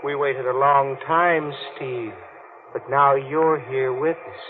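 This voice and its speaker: A man acting the calm, taunting ghost of a drowned man in old-time radio horror, slow, menacing and hypnotic. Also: medium pitch, a little hiss or hum, natural voice. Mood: quietly menacing. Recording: tinny vintage radio recording with music.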